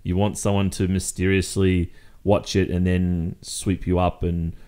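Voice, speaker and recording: A man acts out a jealous Australian boyfriend with a low-pitched voice. He confronts his partner in an intimate roleplay monologue, exasperated and accusatory. His phrasing is conversational and halting, yet insistent. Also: flat delivery, dark tone, smooth. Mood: angry, scornful.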